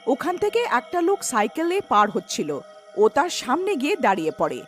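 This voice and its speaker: A woman's voice: high-pitched, wildly swooping pitch, a little fast, faint music behind. A woman narrating a scripted cartoon story.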